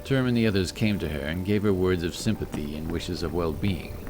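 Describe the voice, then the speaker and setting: A man's voice: sits low, brisk. A man reading scripted fantasy narration as a storyteller, with music behind his voice.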